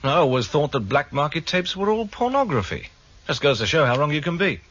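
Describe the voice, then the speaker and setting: World-weary British senior detective, vintage radio drama. Husky, bright edge, wry authority, dull lo-fi broadcast sound. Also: male voice, on the high side, big pitch swings, fairly quick. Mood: wry, curious.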